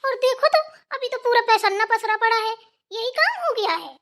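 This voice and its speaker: A woman's voice: extremely high pitch, lively intonation, normal speed, a bit bright, exaggerated voice. A woman voicing a sassy, vain and impatient younger sister-in-law, teasing and nagging in a cartoon style. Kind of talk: Hindi family cartoon voice-over.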